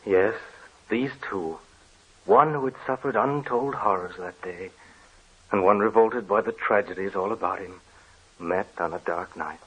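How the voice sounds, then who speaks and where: A man narrating an old radio horror drama in a grave, measured way. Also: lowish voice, fairly bright tone, slightly rough, poor audio.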